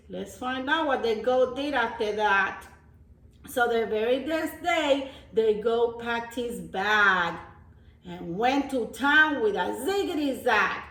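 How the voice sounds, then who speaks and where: High-voiced woman reading to children, slowly. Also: fairly bright tone. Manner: explanatory, addressing young children. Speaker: children's storyteller, teacher. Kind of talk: picture-book read-aloud with simple explanations. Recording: echoey room.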